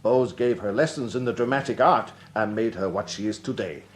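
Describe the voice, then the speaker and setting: An actor playing a boastful, effusive old Irish army captain and fencing master in a period radio drama, with a florid, proud manner. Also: male voice, fairly low pitch, brisk, slight background noise, natural voice. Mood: boastful reminiscing. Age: older.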